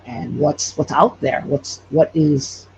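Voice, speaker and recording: A man talking casually as an interview guest, halting as he searches for words. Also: on the high side, steady tone. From Hawaii. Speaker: podcast creator guest. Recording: dull lo-fi call, loud noise.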